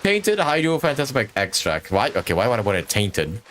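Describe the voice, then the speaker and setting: A man's voice: on the low side, sing-song, fairly quick, background noise. A man casually commentating on his live gameplay in a jokey, sweary way. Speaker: game streamer.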